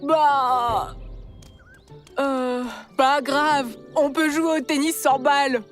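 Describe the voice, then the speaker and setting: A woman voicing an excitable, self-assured boy character in a children's cartoon, with a simple, emphatic delivery. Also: high voice, very slow, soft background music. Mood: untroubled.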